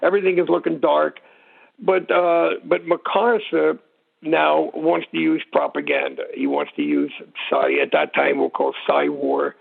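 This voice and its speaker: A man with a gravelly, husky voice explaining history informally as an interview guest, rambling and digressing as he tells it. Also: on the high side, animated, a little slow. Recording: muffled narrow phone-like line.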